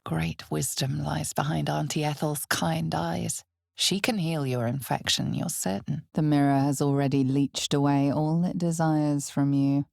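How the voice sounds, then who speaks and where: Low, husky, ominous fantasy game narrator. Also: female voice, darkish tone, no room sound, clean studio sound, exaggerated voice. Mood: hushed, mysterious. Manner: slow, deliberate, ominous. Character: omniscient female narrator of a dark fantasy game, grave and knowing. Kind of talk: dramatic second-person fantasy game narration.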